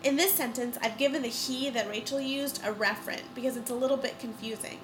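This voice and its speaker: A woman hosting a podcast, congested from a cold, chatting and explaining in a clear, casual way. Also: a little high, fast talker, noisy background. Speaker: podcast host. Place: indoors.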